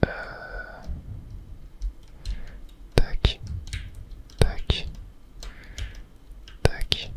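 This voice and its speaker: Whispered throughout, ASMR game commentary, soft delivery, little interjections. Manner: soft unvoiced whispering with small mouth-sound interjections. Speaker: gaming ASMR creator.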